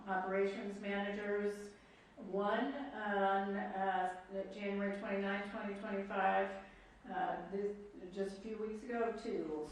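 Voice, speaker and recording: A woman with a medium-pitched voice speaks formally as an official at a public hearing. She is deliberate and halting, leaning firmly on her key points. The room is very echoey and she sounds distant from the microphone. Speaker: board official questioning staff at a hearing. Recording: echoey room, distant mic, faint noise.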